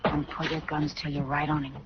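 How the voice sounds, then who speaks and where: A woman's voice: deep voice, level tone, brisk, lightly acted. An American woman playing a hard-boiled, ruthless gun moll, tough and commanding, in a 1940s radio drama. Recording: muffled, noisy 1940s radio recording.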